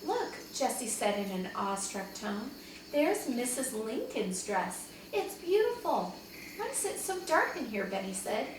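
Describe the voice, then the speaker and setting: Female storybook reader, higher-pitched and very expressive, teacherly delivery, recorded at a distance in a reverberant room. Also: unhurried. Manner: animated, dramatizes dialogue for young listeners. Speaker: teacher reading aloud to second graders. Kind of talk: expressive children's storybook read-aloud. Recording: very echoey room, distant mic, faint noise.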